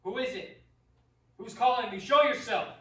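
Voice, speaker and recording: An actor playing a haunted, grieving lover in a radio play, halting and distraught. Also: male voice, very high-pitched, bright, forceful tone. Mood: fearful anguish. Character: anguished, haunted man grieving his beloved. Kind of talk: amateur radio play acting. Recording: roomy, distant, faintly noisy stage recording.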